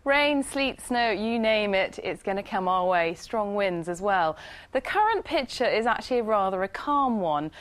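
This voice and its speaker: A woman's voice: mid-range voice, expressive, moderate pace. British woman presenting a weather forecast. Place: TV news studio.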